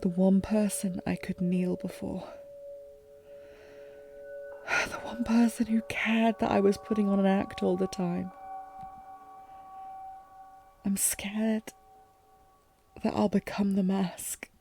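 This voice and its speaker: Woman acting as regal warrior queen, lovesick and fragile, dark soft-edged tone, creaky voice, emotive halting monologue, fantasy audio roleplay. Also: medium pitch, fairly even intonation, soft background music. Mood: fearful, vulnerable. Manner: formal, emotive, halting delivery.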